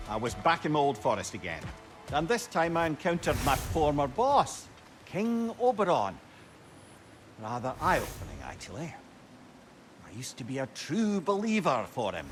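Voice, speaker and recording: A man's voice: mid-range voice, close to an everyday voice. A man playing a genial, witty old former trickster in a video game, with a Scottish accent and a wry, talkative, old-fashioned way of speaking. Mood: confiding. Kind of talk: video game dialogue, storytelling banter.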